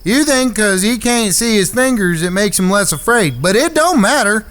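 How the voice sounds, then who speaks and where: A man acts out an excitable frontier outlaw for an audio drama. His voice sits high for a man and jumps around in pitch. He speaks in a folksy, stubborn drawl. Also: normal speed. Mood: frustrated. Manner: folksy, ungrammatical cowboy drawl. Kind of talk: western audio drama acting.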